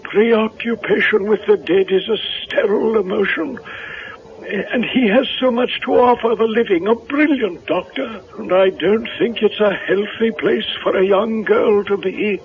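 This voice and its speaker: An actor playing a kindly, worried, gently moralizing reverend in a 1970s radio drama. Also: male voice, high-pitched, a little slow. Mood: disapproving. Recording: very muffled, noisy vintage radio recording.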